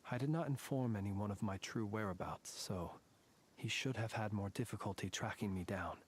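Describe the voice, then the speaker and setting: An actor playing a grave, courtly soldier in a video game, speaking in formal, measured, deliberate phrases. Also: male voice, fairly low pitch, breathy edge. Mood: composed, formal. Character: grave, composed, courtly male soldier, quietly vengeful. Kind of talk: scripted video game voice acting. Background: game music.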